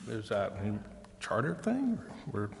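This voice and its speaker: A man's voice: on the low side, huge pitch swings, slow, vocal fry. A man, a council member, asking questions in a formal meeting. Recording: reverberant council chamber, faint noise.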